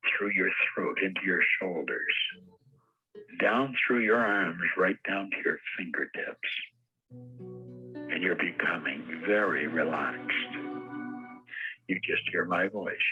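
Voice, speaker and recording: A man's voice: deep voice, highs cut off. A man leading a guided meditation, speaking in a soothing, repetitive, hypnotic way.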